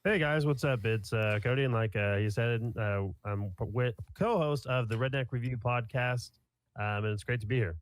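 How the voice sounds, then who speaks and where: A man's voice: mid-range voice, normal speed, no echo. A man in his twenties from Kansas, a podcast guest chatting casually in a rambling, blunt way and swearing freely. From Kansas, USA. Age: 20s.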